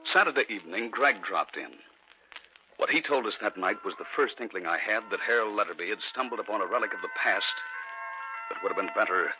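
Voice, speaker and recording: An actor playing a skeptical husband who also narrates the tale, in an old radio play. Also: male voice, fairly low pitch, animated, music in the background, natural voice. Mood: dismissive. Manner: brisk, dismissive. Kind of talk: 1940s radio acting. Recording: muffled old radio broadcast with loud hiss.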